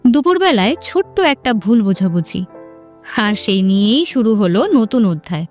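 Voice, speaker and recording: A woman's voice: medium pitch, expressive, normal speed, soft background music. A woman narrator reading a scripted romantic story.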